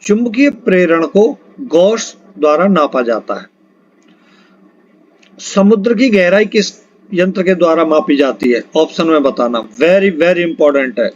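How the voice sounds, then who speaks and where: Male exam-prep teacher, notably high voice, instructional quiz read-out that prompts for answers, muffled low-fidelity recording. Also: animated, a little slow. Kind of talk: quiz lesson, reading questions, options and answers aloud. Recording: dull lo-fi recording.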